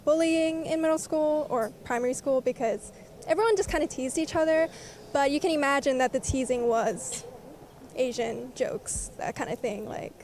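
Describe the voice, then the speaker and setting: A young woman from South Africa, chatting fast in a casual outdoor interview and laughing often. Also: high-pitched, noisy background.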